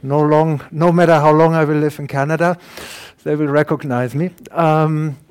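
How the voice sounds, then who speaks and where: A man's voice: fairly high pitch, fairly even intonation, moderate pace, smooth. Man with a German accent lecturing. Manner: earnest, explanatory, swings from quiet to emphatic. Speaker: guest lecturer. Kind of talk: public lecture.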